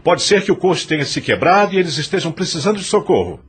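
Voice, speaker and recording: Man playing an animated, worried old plantation master. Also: fairly high pitch, fast talker, breathy edge, exaggerated voice. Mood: urgent, commanding. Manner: urgent, authoritative. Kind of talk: audio drama acting.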